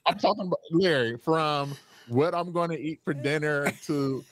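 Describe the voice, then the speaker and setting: A man's voice: a little high, moderate pace, dry recording, poor audio. A man being interviewed as a guest on a radio show, talking with enthusiasm and laughing easily.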